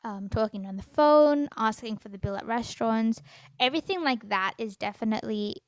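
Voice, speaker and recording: Teen girl, solo podcast host, slightly high voice, stammering and hesitant, fading at line ends. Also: no room sound. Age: teens. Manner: halting, with stutters, fillers and restarts; soft, trails off quietly at phrase ends.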